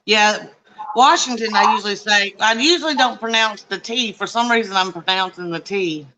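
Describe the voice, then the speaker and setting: A man's voice: sits high, normal speed, bright, forceful tone, slight background noise, rough recording. A man with a rural Southern US accent, chatting in an easygoing, drawling country way. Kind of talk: casual chat.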